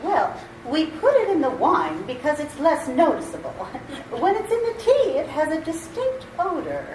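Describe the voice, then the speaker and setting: Woman acting a high-voiced, prim old aunt. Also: wildly swooping pitch, normal speed, a little mellow, far from the mic. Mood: matter-of-fact. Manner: fussy, prim, matter-of-fact. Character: prim, cheerfully matter-of-fact elderly aunt, genteel poisoner. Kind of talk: old-time radio play acting. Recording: echoey room, off-mic, slight noise.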